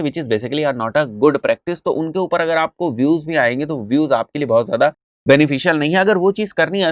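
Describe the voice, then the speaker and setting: A man's voice: fairly high pitch, no echo. A man with an Indian accent explaining as a marketing instructor in a conversational, didactic way, mixing in English phrases and often checking in with short tags. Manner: mixes English terms and phrases into speech.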